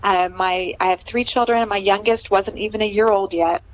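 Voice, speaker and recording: A woman's voice: medium pitch, fairly even intonation, brisk, low-quality recording. A middle-aged American woman from California chatting as an interview guest in a conversational, self-deprecating way. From California, United States.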